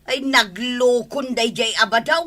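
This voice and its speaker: A woman's voice: fairly high pitch, a little slow, sharp tone. A woman with an Ilocano accent playing an exasperated village wife in a comedy drama. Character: exasperated village wife complaining about her husband.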